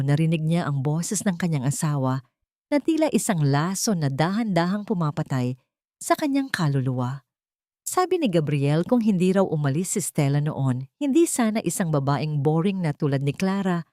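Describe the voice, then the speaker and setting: A woman's voice: sits low, sing-song, moderate pace, mellow, no echo, studio quality. A woman reading a scripted, dramatic story as its narrator.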